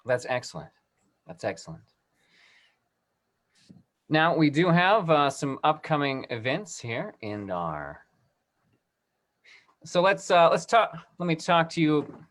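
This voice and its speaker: Halting man hosting an online event. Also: fairly high pitch, big pitch swings, fairly bright tone. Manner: halting, repeats himself. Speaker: host. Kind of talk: online talk-back hosting and announcements.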